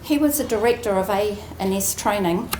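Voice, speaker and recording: A woman giving a prepared ceremony speech as an executive, measured and earnest with deliberate emphasis. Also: medium pitch, darkish tone. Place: indoor ceremony room. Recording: roomy echoing venue, faint background noise.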